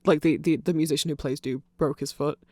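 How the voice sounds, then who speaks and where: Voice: mid-range voice, steady tone, a little fast, mellow, no echo. Dry, rambling close-up ASMR explainer. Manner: rambling, informal, full of asides. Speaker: ASMR audio creator.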